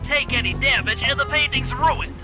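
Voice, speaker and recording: A man's voice: very high-pitched, normal speed, over music. A man voicing a nerdy, cautious young turtle mastermind in a video game, nasal, speaking in precise, wordy, scholarly briefings. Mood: instructive. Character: nerdy, cautious male turtle brainiac, young gadget-minded strategist. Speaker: mission planner and guide. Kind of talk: video game cutscene and tutorial voice acting.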